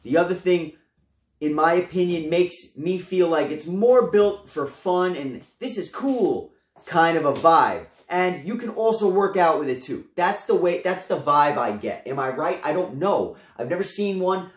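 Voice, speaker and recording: A young man reviews home gym gear, talking to his viewers in a lively, gesturing way. His voice sits high for a man. The camera mic is a few feet off, so the small room's echo comes through. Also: moderate pace. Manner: animated, gestures a lot, addresses viewers as guys. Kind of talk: casual product review to camera. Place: small room at home, indoors. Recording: roomy echo.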